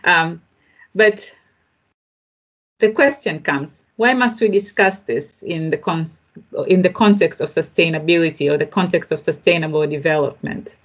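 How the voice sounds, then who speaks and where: A woman hosting a podcast, introducing the subject in a deliberate, halting way as she thinks aloud. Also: lowish voice. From Tanzania. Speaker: podcast host.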